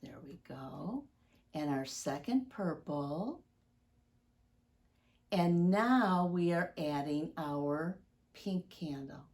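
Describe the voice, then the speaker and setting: A woman, speaking deliberately and expressively to young children as a story-time teacher. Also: sits low, expressive, darkish tone. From United States, Midwest.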